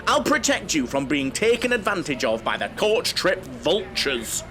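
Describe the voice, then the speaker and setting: Fussy, high-pitched chatty Englishman. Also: big pitch swings, unhurried. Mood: knowing, confiding. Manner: theatrical, self-important, over-familiar. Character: chatty, camp, fussy male coach-trip enthusiast. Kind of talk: comic audio drama dialogue.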